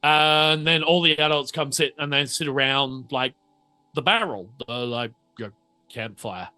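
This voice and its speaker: A man narrating a tabletop game as game master, setting scenes in a halting, conversational way. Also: fairly high pitch, pushed and bright, no echo.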